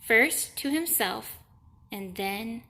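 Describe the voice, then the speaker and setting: A woman teacher reading a picture book to young children, deliberate and explanatory, pausing to ask questions. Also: fairly high pitch, animated, pushed and bright. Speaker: elementary school teacher. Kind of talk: read-aloud lesson for young children.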